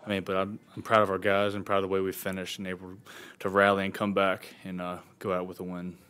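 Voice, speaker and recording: Low-voiced young male college quarterback. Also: monotone, fast talker, sharp tone, smooth. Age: 20s. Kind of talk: postgame press conference answers. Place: press conference table indoors.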